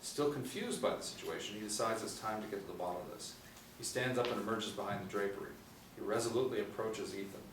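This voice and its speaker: A man in his forties narrates a screenplay in a lowish voice. He is recorded from a distance in an echoey room. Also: fast. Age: 40s. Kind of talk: scripted narration of screen directions. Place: small indoor room, seated group. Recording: roomy, distant mic with faint noise.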